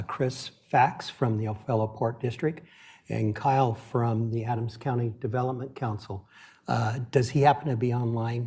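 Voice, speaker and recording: A man's voice: mid-range voice, a little mellow, breathy edge. A man chairing a city council meeting, speaking plainly with many "uh" fillers into a meeting room microphone. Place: council chamber.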